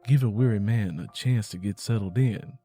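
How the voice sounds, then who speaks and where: A man's voice: lowish voice, normal speed, mellow, slightly rough, faint music behind, pitched below the performer's own voice. A man playing a weary, affectionate man with an American Southern accent, laid-back and tender, in an audiobook. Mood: amused, weary. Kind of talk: audiobook character dialogue.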